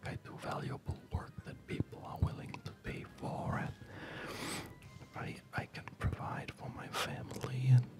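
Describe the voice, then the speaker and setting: Slow whispering man, ASMR gamer. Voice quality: soft breathy whisper. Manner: gentle, unhurried, hesitant pauses. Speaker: ASMR video creator. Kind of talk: ASMR gaming commentary. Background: music.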